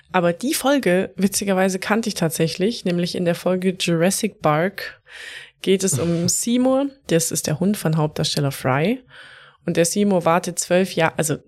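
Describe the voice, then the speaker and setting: A woman co-hosting a casual podcast, telling stories in a chatty way and laughing often. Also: fairly low pitch. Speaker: podcast co-host.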